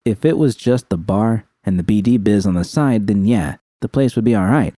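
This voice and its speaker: A man reading as a tough, slangy gang woman, low-pitched. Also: lively intonation, moderate pace, warm tone, dry recording, clean studio sound, close to an everyday voice. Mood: grave, confiding. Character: Rita, tough, worried woman gang member. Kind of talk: audiobook character dialogue.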